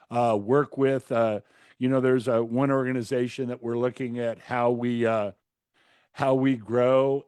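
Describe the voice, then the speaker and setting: Male co-host, medium register, conversational commentary with halting phrasing, recorded very dry with no room sound. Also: mid-range voice, darkish tone, dry recording. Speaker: podcast co-host, businessman and consultant.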